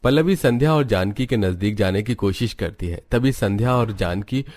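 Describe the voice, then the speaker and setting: A man reading scripted narration for an animated family story. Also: fairly low pitch, normal speed.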